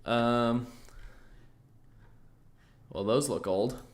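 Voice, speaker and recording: A young American man hosting a casual livestream, easygoing and thinking aloud, often pausing on fillers and restarting his sentences. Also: medium pitch. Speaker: trading livestream host. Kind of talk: casual livestream chat answering viewers.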